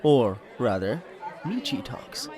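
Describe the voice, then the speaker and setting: A man's voice: mid-range voice, huge pitch swings, slow, crowd noise. A man narrating a scripted audio drama in a wry, teasing manner.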